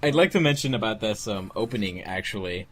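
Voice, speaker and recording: A man with a fairly low voice comments casually on an episode he worked on. His delivery is animated, with plenty of rise and fall. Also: fairly quick. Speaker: fandub editor on a commentary track. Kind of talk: casual group commentary while watching an episode.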